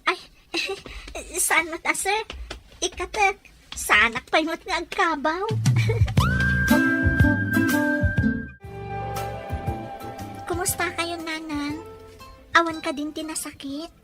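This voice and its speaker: A woman's voice: very high voice, animated, takes it slowly, long pauses, music in the background, full character voice. A woman playing a polite, inquisitive young housemaid and devoted daughter in an audio drama. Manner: polite, questioning.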